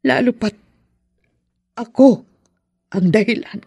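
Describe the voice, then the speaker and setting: A woman playing an anguished, possessive middle-aged mother in a radio drama, confessing in a halting, heavy way. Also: a little high, vocal fry, a little mellow, husky, no room sound, exaggerated voice. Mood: remorseful, anguished. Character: possessive, remorseful mother of grown children, anguished.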